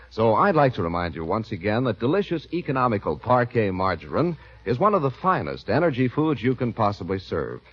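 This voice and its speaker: A male announcer reading a scripted 1940s radio commercial and credits, persuasive and measured. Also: medium pitch. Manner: smooth, persuasive, spelling things out. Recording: dull 1940s radio broadcast.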